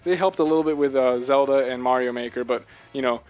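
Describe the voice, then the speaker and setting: A man's voice: mid-range voice, quick pace, darkish tone, soft background music. A man co-hosting a casual video game discussion, talking in an earnest, emphatic way and often asking rhetorical questions. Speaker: podcast co-host.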